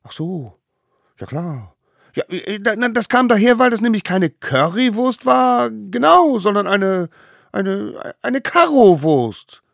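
A bunch of squeaky little wild kids, as a male storyteller puts them on, blurting out eager excuses at the top of their voices. The voice jumps all over the place and stays loud. It sounds dry and close. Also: big pitch swings, slow, creaky, dry recording, exaggerated voice. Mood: fibbing. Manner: high squeaky shouting, babbling excuses. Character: small excitable stone-age kids, eager and fibbing.

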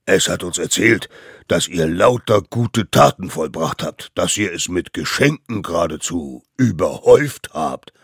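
A man voicing three stern old mammoth judges who speak slowly and pompously. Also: deep voice, animated, husky, dry recording, clean studio sound, full character voice.

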